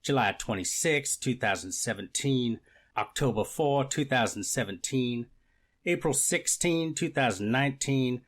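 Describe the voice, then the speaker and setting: Guy playing a prosecutor in a court reenactment, dry formal reciting, medium pitch, methodical. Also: lively intonation, measured pace, fairly bright tone. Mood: formal, factual. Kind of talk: readers theater courtroom reenactment.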